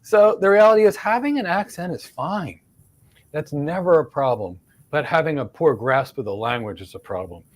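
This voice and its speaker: A man's voice: fairly high pitch, animated, moderate pace. Emphatic Philadelphia man hosting live Q&A. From United States. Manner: emphatic, repeats key words for stress. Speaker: host, career mentor. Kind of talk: live Q&A, answering career questions.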